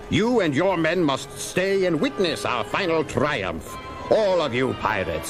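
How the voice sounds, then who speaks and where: A man playing a gloating, grandiose Romulan commander in a theatrical, declamatory seventies audio drama style. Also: a little high. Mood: grandiose, triumphant. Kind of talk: 1970s audio drama acting.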